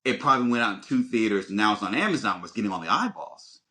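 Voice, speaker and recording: A man's voice: fairly low pitch, very animated, pushed and bright. A man co-hosting a podcast, giving opinions on films in an emphatic, rambling way with frequent restarts. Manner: emphatic, halting and rambling with repeated starts. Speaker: podcast co-host. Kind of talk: casual opinionated film chat.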